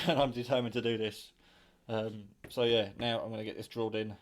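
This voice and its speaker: A British man with a fairly low voice presents a homemade project from his kitchen. He speaks in an informal, rambling, unscripted way, with the odd mild swear word, like a hobbyist talking to his viewers. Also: steady tone, brisk. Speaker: hobbyist vlogger presenting own radio build. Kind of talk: casual unscripted demonstration talk. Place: home kitchen.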